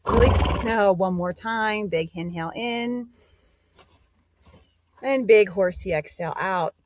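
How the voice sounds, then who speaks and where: A woman leading a guided meditation, giving gentle instructions with pauses between phrases. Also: medium pitch, slight background noise.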